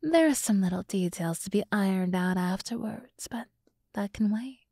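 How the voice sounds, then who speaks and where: A woman playing a sultry, teasing demoness in an ASMR roleplay, speaking in a soft half-whisper with slow, condescendingly soothing deliberation, close to the microphone. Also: mid-range voice, dry recording, lightly acted. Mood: scornful, menacing. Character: sultry, teasing demoness summoned to serve a human.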